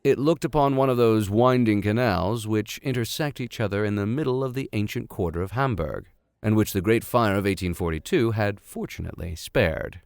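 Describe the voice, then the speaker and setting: A man's voice: fairly low pitch, moderate pace, darkish tone, smooth, no room sound, studio quality. A man reading a classic novel aloud as host, dropping irreverent, slangy asides into the formal narration. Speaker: host and reader. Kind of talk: audiobook reading with joking improvised asides.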